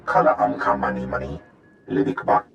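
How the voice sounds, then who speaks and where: A man voicing a gruff alien who speaks a guttural invented tongue in a video game, with a gravelly voice. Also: extremely low pitch, lively intonation, fairly quick, fairly bright tone, lo-fi sound, soft background music, full character voice.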